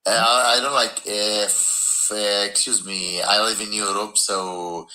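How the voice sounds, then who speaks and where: Caller, male, non-native English, low voice with a sharp, bright edge. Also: flat delivery, slow, rough recording. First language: not English. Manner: earnest, speaks careful second-language English. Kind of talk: live stream call-in talk.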